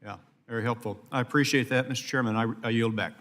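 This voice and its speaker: An American man questioning a witness as a congressman, speaking in a hesitant, halting way. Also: medium pitch, steady tone, fairly bright tone. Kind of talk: hearing questioning. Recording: reverberant hearing room.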